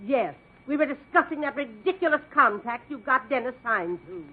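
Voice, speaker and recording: Domineering, scornful middle-aged wife, high voice, 1950s radio comedy, tinny sound. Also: expressive, normal speed, slightly rough. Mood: indignant. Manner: bossy, scornful.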